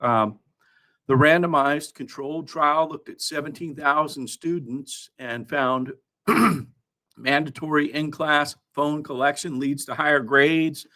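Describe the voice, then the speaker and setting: A man hosting a news countdown, part reading and part ad-libbing his commentary in a halting way, with wry asides. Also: on the high side, fairly even intonation, pushed and bright. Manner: halting, with frequent throat clearing and wry asides.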